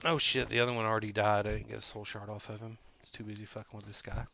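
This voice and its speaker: A man's voice: fairly low pitch, flat delivery, fast talker, vocal fry, noisy background. A man in his late thirties streaming a game, chatting casually in a rambling way with lots of "like" and restarts, swearing freely. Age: 30s. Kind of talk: casual chat while gaming.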